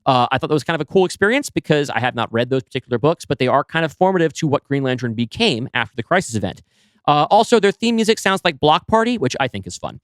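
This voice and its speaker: Fast-talking man, eager podcast co-host. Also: on the high side, sing-song, no echo. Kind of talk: casual podcast chat.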